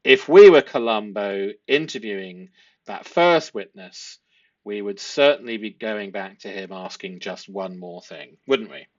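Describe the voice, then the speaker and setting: A man giving analytical commentary to viewers as a host, speaking emphatically and deliberately, often with rhetorical tag questions. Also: fairly low pitch, expressive, highs cut off. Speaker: host analysing a police interview.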